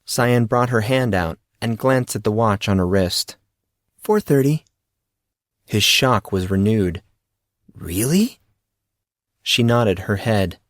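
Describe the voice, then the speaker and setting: A man's voice: on the low side, a little slow, few pauses, a bit bright, no echo, clean studio sound. A man reading scripted fiction as a narrator of an audio story.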